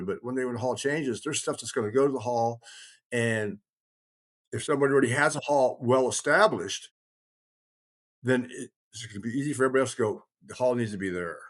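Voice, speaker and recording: A man's voice: medium pitch, fairly quick. A middle-aged man in a casual, rambling group discussion, speaking in an earnest, blunt, folksy way. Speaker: podcast host.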